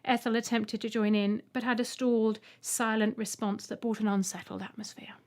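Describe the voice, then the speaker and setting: A woman's voice: on the high side, fairly even intonation, moderate pace. A woman reading a scripted short story aloud as an audiobook narrator.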